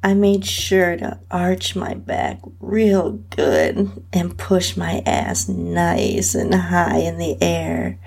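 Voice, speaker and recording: Female narrator for intimate adult fiction: lowish, breathy and husky, with an expressive, swooping melody and lingering delivery. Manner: breathy, sensual, drawn-out delivery with soft moans. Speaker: podcast host and narrator reading own story. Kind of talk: intimate first-person erotic story narration.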